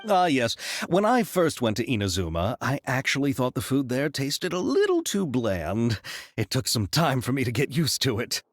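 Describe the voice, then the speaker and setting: An actor playing an earnest, anxious film director in a video game, courteous and a little hesitant. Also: male voice, mid-range voice, normal speed, no room sound. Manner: hesitant, expressive, apologetic. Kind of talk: video game dialogue acting.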